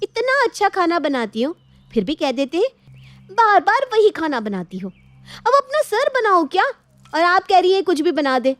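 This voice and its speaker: Young put-upon daughter-in-law, voiced by a woman, very high plaintive voice, domestic moral story, close dry sound. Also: big pitch swings, normal speed, a bit bright, no room sound, full character voice. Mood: exasperated. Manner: plaintive, exasperated. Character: young exasperated daughter-in-law, dutiful wife.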